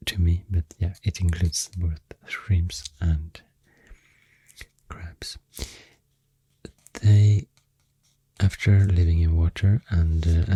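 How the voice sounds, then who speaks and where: Soft whispery swedish guy doing asmr, really dark low voice, rambles along slowly up close. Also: dry recording. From Sweden. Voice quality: soft, breathy, often whispery. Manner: unhurried, hesitant, explanatory. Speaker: ASMR creator. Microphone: close microphone. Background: pencil writing and clicking sounds.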